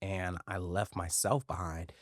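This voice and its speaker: A man's voice: low-pitched, steady tone, a little slow, dry recording, studio quality. A man from the United States, a podcast host who talks in an earnest, emphatic way and often repeats words as he starts a thought. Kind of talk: casual interview chat.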